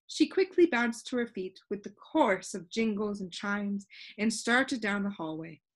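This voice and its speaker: A woman's voice: medium pitch, lively intonation, measured pace. A woman reading a children's story aloud as scripted narration. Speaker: audiobook reader.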